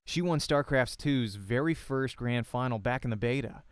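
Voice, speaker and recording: Clear-voiced man narrating a visual novel. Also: mid-range voice, normal speed, dry recording, studio quality. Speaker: narrator and voice actor.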